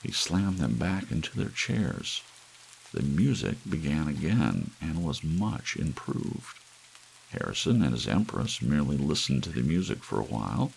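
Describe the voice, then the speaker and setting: Male storyteller for ASMR audiobook: very low, creaky, expressive pitch movement, soft rain underneath. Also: measured pace, rough recording. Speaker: audiobook narrator. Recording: faint hiss with rain sound.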